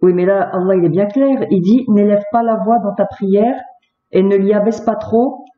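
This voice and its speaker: A woman's voice: mid-range voice, moderate pace. A woman arguing about religion in a phone debate, firm and insistent as she makes her case. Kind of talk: argumentative religious discussion on a call. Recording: muffled, echoey, noisy phone-call recording.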